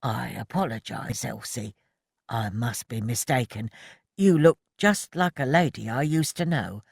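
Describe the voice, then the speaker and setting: A woman voicing a gentle, polite male fireman who speaks softly and hesitantly. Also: low-pitched, expressive, normal speed, dry recording, clean studio sound. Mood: apologetic. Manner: soft, hesitant, apologetic.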